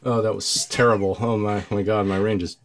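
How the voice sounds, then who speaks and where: A man with a fairly low voice talks through a casual music podcast. He rambles with plenty of fillers and stops to act out silly mock quotes. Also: flat delivery, brisk. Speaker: podcast co-host.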